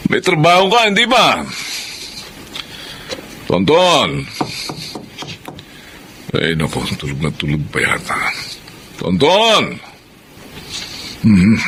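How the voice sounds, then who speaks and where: A man playing a middle-aged, loving but anguished father in an emotional radio drama, halting and repeating his words. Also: lowish voice, a bit bright, a little hiss or hum, heavily acted. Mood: fond, casual. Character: grieving Filipino father, loving, anguished, bitterly reproachful.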